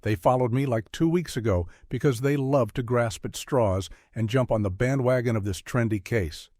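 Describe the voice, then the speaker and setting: A man's voice: mid-range voice, moderate pace, dark tone, no room sound, clean studio sound. A man hosting a scripted satirical interview, blunt, sarcastic and confrontational in his retorts. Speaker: host, mock interviewer.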